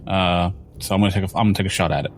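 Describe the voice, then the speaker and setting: A man's voice: sits low, fairly even intonation, racing, slight background noise. A man playing a tabletop game, talking casually and thinking his moves through aloud with some hesitation.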